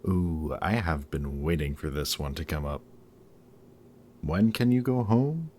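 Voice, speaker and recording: A man plays a villain with a low-pitched voice in an intimate audio roleplay. He is dry and sarcastic, teasing casually while keeping his guard up and caring only grudgingly. Some noise sits behind the voice. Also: animated, unhurried, a little mellow. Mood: dry, exasperated.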